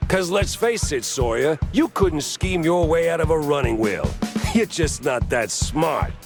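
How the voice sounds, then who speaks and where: An actor playing a tough, wisecracking mouse private detective in a noir cartoon video game, with a sardonic, hard-boiled manner. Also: male voice, a little high, animated, a little slow, music in the background. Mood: defiant, taunting.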